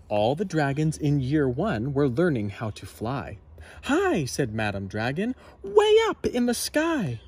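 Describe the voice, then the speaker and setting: Animated man reading a children's story. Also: fairly high pitch, slightly rough. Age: 30s. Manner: expressive, dramatic. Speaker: storybook reader. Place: outdoors in a garden.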